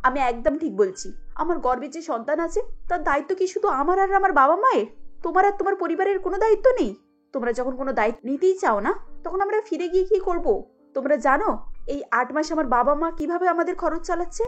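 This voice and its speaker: A woman voicing an indignant, bitter young pregnant daughter in a cartoon story, scolding with sharp rhetorical questions. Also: very high-pitched, expressive, fast talker, muffled recording, faint music behind. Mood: reproachful.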